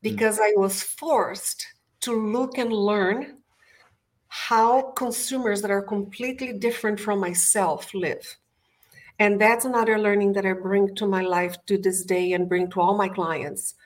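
A woman's voice: medium pitch, fairly even intonation, a little slow. Brazilian woman telling her career story. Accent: Brazilian Portuguese. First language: Portuguese. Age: middle-aged. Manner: enthusiastic storyteller. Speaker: guest. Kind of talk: interview conversation. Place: home office.

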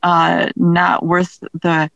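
A woman's voice: on the low side, completely flat delivery, extremely slow, gravelly, fairly bright tone, dry recording, highs cut off. A woman speaking casually as an interview guest, chatty and self-deprecating as she talks about her own work as an author. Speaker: interview guest, author and audio drama creator.

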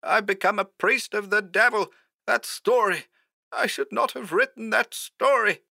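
A man voicing a terrified, despairing male horror writer in a high, strained, hoarse voice, as if calling by telephone in an audiobook. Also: expressive, normal speed, bright, forceful tone, heavily acted.

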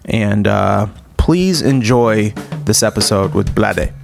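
A man's voice: lowish voice, animated, slow pace, creaky, music in the background. A man in his thirties hosting a podcast, talking casually and enthusiastically with lots of fillers and restarts. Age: 30s. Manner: rambling, filler-heavy, enthusiastic. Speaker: podcast host. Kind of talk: casual podcast intro and chat.

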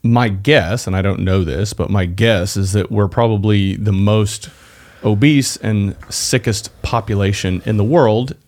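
A man with a lowish voice hosting a casual podcast interview. He muses and hedges, working up to his question. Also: a little slow, few pauses, a little mellow. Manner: thoughtful and hedging, musing before asking. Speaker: podcast host.

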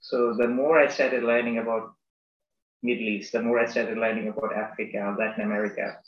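Academic man from Bangladesh, reflective and halting, echoey lo-fi room. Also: on the low side, little pitch movement. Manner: thoughtful, halting, many restarts and fillers. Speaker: business school academic. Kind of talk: conversational interview.

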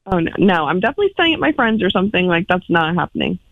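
A woman's voice: fairly low pitch, very animated, rapid-fire, dry recording. A woman calling in by phone, chatting casually in a hesitant way, peppered with "like". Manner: hesitant, filler-heavy with "like". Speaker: phone-in guest. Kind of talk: casual chat. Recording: dull lo-fi phone call, dry.

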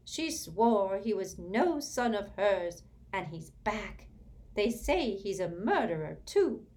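A woman's voice: mid-range voice, sing-song, slow, darkish tone, voice pushed up. A woman reading aloud and voicing a bitter old male house-elf servant who mutters furiously. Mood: muttering resentfully. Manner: furious hissing mutter, bowing servility. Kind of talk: audiobook character voice.

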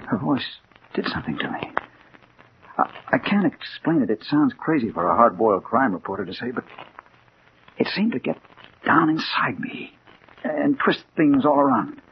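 A man's voice: medium pitch, big pitch swings, normal speed, long pauses. Hard-boiled American newsman, impatient, slangy, haunted, 1940s radio drama, muffled recording with faint hiss. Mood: shaken, spellbound. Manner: tough, slangy, dramatic delivery. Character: hard-boiled male crime reporter, impatient, obsessive, haunted. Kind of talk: 1940s radio drama acting, first-person narration.

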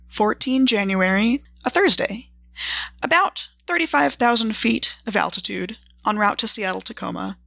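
Wry, bright-voiced woman diarist, acted by a woman. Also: mid-range voice, vocal fry, no echo, full character voice. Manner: dry, articulate, arch. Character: wry, precise, worldly woman writing her travel journal. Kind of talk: scripted journal narration.

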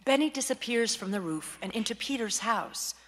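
A woman's voice: a little high, expressive, normal speed, fairly bright tone, long reverb tail, slight background noise. A woman reading scripted stage directions as the narrator of a radio play.

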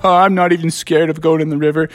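A woman's voice: low voice, fairly even intonation, fast talker, voice pushed down, close to an everyday voice. A woman voicing a big, furry, cheerful and confident animal in a children's story. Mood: breezy.

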